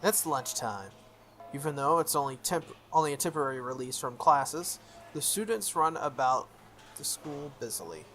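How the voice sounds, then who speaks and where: A let's-play host reads a visual novel aloud in a medium-pitched voice. The delivery moves between scripted narration and chatty, offhand asides. Music plays underneath. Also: animated, moderate pace. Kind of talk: scripted reading with casual commentary. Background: soft game music.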